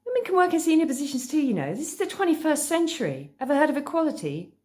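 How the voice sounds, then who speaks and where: A woman's voice: on the low side, very animated, moderate pace, gravelly, a little mellow. A woman voicing an outspoken, bewildered fifteen-year-old schoolgirl with an incredulous, questioning manner in a storybook reading. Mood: indignant.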